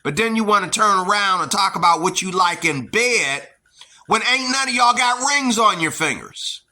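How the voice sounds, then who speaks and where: American guy ranting to camera like a preacher, blunt and scolding, real forceful edgy voice. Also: high voice, animated, normal speed. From United States. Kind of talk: heated opinion monologue.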